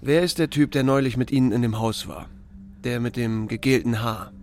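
An actor playing a laconic, wry small-town sheriff who narrates a radio drama in a gravelly, dry, unhurried voice. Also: male voice, mid-range voice, darkish tone, a little hiss or hum, lightly acted. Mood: calm, wry. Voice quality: gravelly, creaky. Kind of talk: scripted first-person drama narration.

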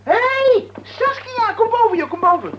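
A man's voice: extremely high pitch, lively intonation, normal speed. A man playing a good-natured, hesitant youngster in a Dutch radio play, chatty and obliging. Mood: friendly. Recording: dull, noisy old radio recording.

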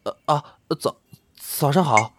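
Man playing a shy, stammering young star, very animated. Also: on the high side, slow pace, background noise. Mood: flustered. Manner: bashful, stammering, hesitant. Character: shy, flustered young male star, adoring fan. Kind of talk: audio drama acting. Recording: clear guitar music behind.

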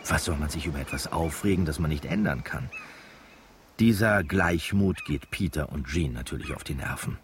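An actor playing a wry, easygoing narrator of village life in a radio drama, telling it in an unhurried way. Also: male voice, a bass voice, a little hiss or hum. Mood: wry, musing.

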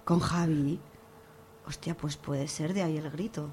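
A woman's voice: sits low, steady tone, unhurried, darkish tone, a little hiss or hum. A woman acting a worried, assertive young woman in a radio serial, colloquial and defensive, with an accent from Spain. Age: young adult.

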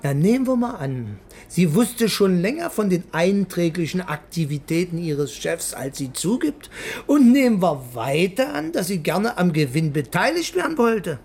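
An actor playing a probing, teasing senior male police detective with a raspy, creaky voice in a naturalistic radio drama. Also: high-pitched, very animated, a little mellow, full character voice. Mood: musing, probing. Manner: probing, instructing, deliberate.

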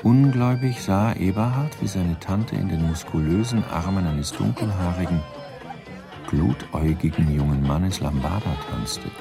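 An actor narrating a German radio crime comedy as a wry, composed storyteller in a measured, dryly ironic manner. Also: male voice, low voice, creaky, over music. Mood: dry irony. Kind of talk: scripted radio drama narration.

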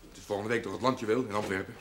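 An actor playing a respectful but stubborn young farmer's son in a seventies television drama. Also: male voice, on the low side, fairly even intonation, racing, close to an everyday voice. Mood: pleading, stubborn. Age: young adult. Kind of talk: 1970s television drama acting. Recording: noisy old television soundtrack.

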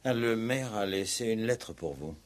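A man playing a gruff, insistent police commissioner in a 1960s French-language radio play, with colloquial, probing talk and hesitant repetitions. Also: lowish voice, expressive, normal speed, lightly acted. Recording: vintage 1960s radio drama recording.

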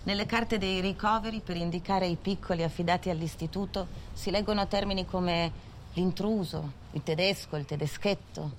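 A woman narrating a historical documentary in a measured, solemn manner. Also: on the low side, slight background noise, natural voice. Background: music.

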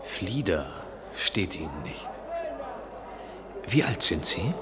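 An actor playing a restless, wry man travelling abroad, measured and poetic, in a noisy 1970s radio play recording. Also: deep voice, big pitch swings, bright, forceful tone, full character voice. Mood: aloof. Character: restless, wry European traveller, aloof yet attracted man.

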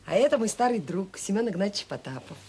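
An actress playing a loving, anxious middle-aged mother in a Soviet audio drama, speaking softly and intimately. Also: sing-song, fast talker. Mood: excited. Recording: noisy old recording with low rumble.

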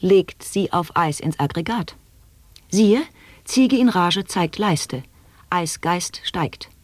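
A woman reading experimental sound poetry in a radio play, taking each word slowly and deliberately in a dry studio. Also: fairly low pitch, animated, no echo. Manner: slow, deliberate word-by-word delivery.

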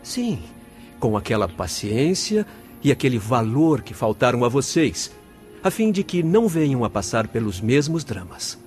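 An actor as a compassionate spirit counsellor in a radio play. He orates gravely in a mid-pitched voice with sweeping intonation. Light music plays underneath. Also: very animated, normal speed, darkish tone, lightly acted. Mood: solemn compassion. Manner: oratorical, solemn, formal. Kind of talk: radio drama acting.

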